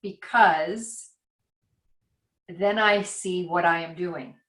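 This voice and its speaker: A woman's voice: fairly low pitch, extremely slow, fairly bright tone. A woman author talking over a video call, thinking aloud in a rambling, reflective, self-questioning way. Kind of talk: casual conversation over a call. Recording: roomy echo.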